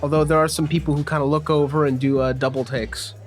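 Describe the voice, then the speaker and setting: Man, higher pitch, game master, casual tabletop narration, light music behind. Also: little pitch movement, fast.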